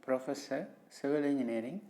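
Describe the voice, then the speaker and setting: A middle-aged man with an Indian English accent, a native Tamil speaker, presenting his research in a hesitant way, with many pauses and fillers. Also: mid-range voice, dark tone, rough recording. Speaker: civil engineering professor presenting his research. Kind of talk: slow, hesitant screen-recorded talk.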